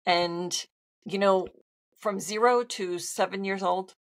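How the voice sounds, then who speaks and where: A woman's voice: mid-range voice, fairly even intonation, slow pace. A woman hosting an interview over a video call, earnestly explaining ideas to her guest. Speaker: podcast host. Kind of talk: casual interview chat. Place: home studio, video call. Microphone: headphones and studio mic.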